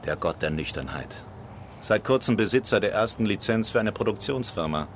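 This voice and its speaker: Low-voiced brash screenwriter, noisy background. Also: male voice, expressive, a little fast. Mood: subdued, confiding. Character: brash, cynical screenwriter in postwar Germany. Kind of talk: German radio drama acting with narrated reports.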